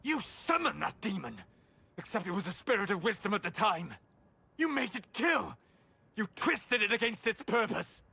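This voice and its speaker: An actor playing a grave, scholarly elven male mage with measured, formal phrasing in a video game drama. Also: sits high, big pitch swings, creaky, pushed and bright, in a large hall, full character voice. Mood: cold fury.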